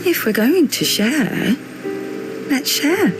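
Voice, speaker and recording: An actress playing a guarded, wry young teacher with quiet melancholy, in a measured, naturalistic video game cutscene style. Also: female voice, sits high, big pitch swings, heavily acted, rough recording. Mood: wry, teasing. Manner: dry, teasing, measured. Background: music.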